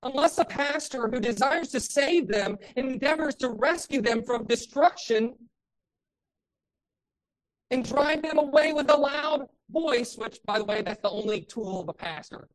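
A woman pastor preaching a sermon with a loud voice, building passionately to emphatic peaks. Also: high voice, animated, unhurried, poor audio.

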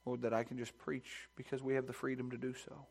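A pastor speaks from the pulpit of a church sanctuary, his voice sitting at an ordinary pitch for a man. He moves between a hushed, earnest prayer and climbing, loud, fervent preaching. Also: level tone, a little fast, gravelly, husky, rough recording. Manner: builds to loud, high-pitched preaching fervor; soft, hushed praying voice. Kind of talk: sermon with scripture reading and prayer.

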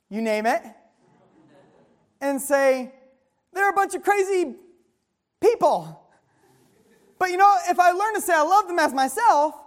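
Female preacher, high pitch, call-and-response sermon, slow scripture reading.

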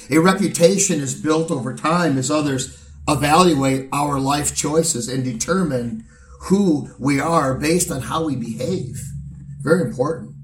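A man preaching a sermon as pastor, his voice hoarse and gravelly, speaking in an earnest, measured way with pauses. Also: medium pitch. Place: church sanctuary. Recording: roomy sound from a distant mic.

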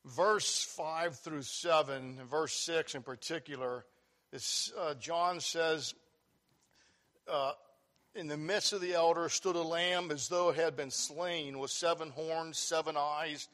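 Man, preacher, Bible sermon, loud earnest voice, slightly high pitch. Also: fairly even intonation, slow pace. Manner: loud voice, earnest, reads scripture aloud.

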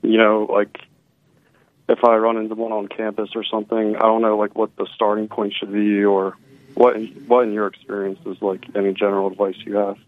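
Over a narrow, tinny telephone line, a man calls in with a fairly low, very even voice. He speaks informally and a little tentatively, as someone casually asking for advice. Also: a little fast. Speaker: caller to a call-in show. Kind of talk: casual question. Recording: band-limited tinny phone line.

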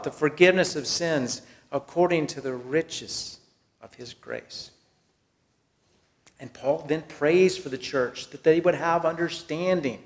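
A man with an American accent preaching a sermon and reading scripture, speaking in deliberate, emphatic phrases that fall away softly at the end. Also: a little high. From Texas, United States.

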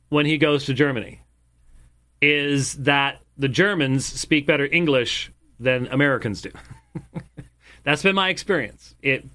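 A man with a rather high, bright and forceful voice comments on clips. He speaks emphatically and hammers his points home by repeating them.

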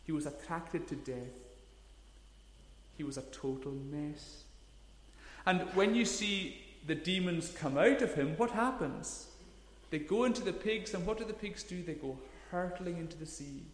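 A man's voice: on the high side, lively intonation, measured pace. A man, preaching a sermon in an earnest, emphatic way, leaning hard on key words. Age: 30s. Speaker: pastor. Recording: echoey hall, long reverb.

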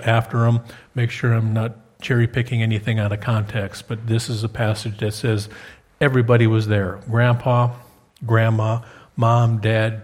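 Male pastor, mid-pitched, homespun and conversational, punches key words. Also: darkish tone, husky. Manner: folksy, joking asides, rising to emphatic peaks on key words. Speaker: preacher. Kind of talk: sermon.

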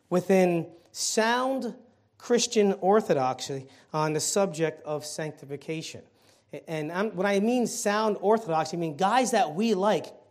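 A man preaching an evening sermon in church, conversational with fillers and restarts, his throat a little hoarse. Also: a little high, darkish tone. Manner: conversational, many fillers and restarts, rising emphasis on key points. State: slightly hoarse throat, clearing it. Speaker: lay preacher filling the pulpit. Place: church sanctuary.

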